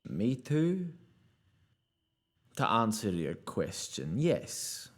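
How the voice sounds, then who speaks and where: An actor playing a bitter, foul-mouthed dying rogue in a fantasy audio drama, sardonic and accusatory. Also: male voice, lowish voice, huge pitch swings, extremely slow, husky, full character voice. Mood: weary, bitter.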